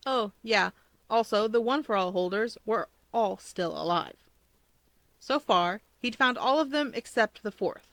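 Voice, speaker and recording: Woman, story narrator, mid-pitched voice, scripted fiction reading, close dry recording. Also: a bit bright, no room sound.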